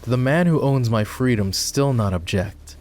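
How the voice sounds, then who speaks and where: A man playing a proud, bitter lowborn knight and war hero in a dramatic fantasy roleplay audio, with a sardonic, scornful manner. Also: expressive, moderate pace, darkish tone, natural voice. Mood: defiant, scornful. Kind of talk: fantasy roleplay ASMR voice acting.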